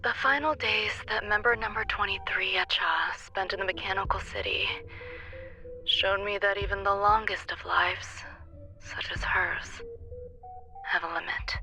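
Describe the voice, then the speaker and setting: An actress playing a detached, melancholy woman genius scientist in a video game, soft-spoken, measured and formal in a philosophical monologue. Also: mid-range voice. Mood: wistful, resigned. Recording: game music behind the voice. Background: video game music.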